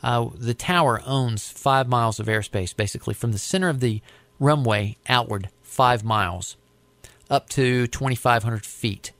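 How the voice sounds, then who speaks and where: An American man recounting his work as an air traffic controller in a conversational, deliberate, explanatory way. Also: medium pitch, a bit bright, dry recording. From United States. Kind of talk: conversational storytelling interview.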